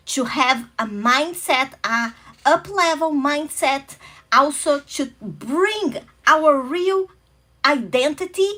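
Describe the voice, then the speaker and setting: Brazilian lady with a portuguese accent hosting a motivational talk, high voice, earnest, takes her time. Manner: earnest, warm welcoming, searching for English words. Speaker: host.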